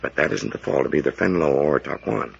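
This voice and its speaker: An actor playing a grave scientist doctor in a 1940s radio adventure, speaking in a measured, formal, ominous way. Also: male voice, extremely low pitch, gravelly, husky, exaggerated voice. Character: grave, trusting scientist doctor, foreboding of jungle evil. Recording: muffled vintage 1940s radio recording.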